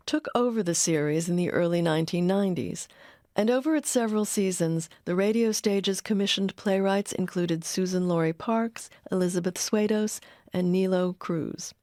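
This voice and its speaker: A woman reading scripted documentary narration in a measured, informative manner. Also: on the low side, a little mellow, dry recording. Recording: faint music bed under narration.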